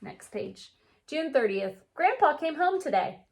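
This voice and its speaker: A woman reading a picture book aloud like a teacher, with animated, drawn-out delivery and chatty asides. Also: high voice. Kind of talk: expressive children's read-aloud with chatty asides.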